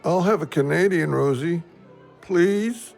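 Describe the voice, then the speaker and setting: Gravelly, easygoing bar regular. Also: male voice, a little high, slow, darkish tone, faint music behind. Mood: friendly, polite. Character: easygoing, polite male bar regular, slightly sheepish. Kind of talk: audio drama acting.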